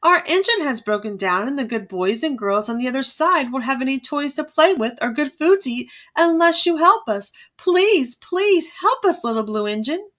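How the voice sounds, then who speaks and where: A woman's voice: sits high, expressive, moderate pace, a bit bright, voice pushed up. A woman voicing little dolls and toys in a storybook, pleading politely and earnestly.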